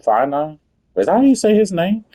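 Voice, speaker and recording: Animated man casually commenting on a game. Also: high voice, moderate pace. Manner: wry, slangy, informal. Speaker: gamer reacting to a game's story.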